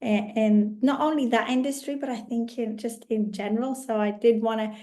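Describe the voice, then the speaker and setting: A woman's voice: on the high side, fairly even intonation, moderate pace, mellow. A woman hosting a podcast interview in a casual chat style, her voice still worn from a recent illness, friendly and rambling with restarts. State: recovering voice after illness. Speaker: podcast host.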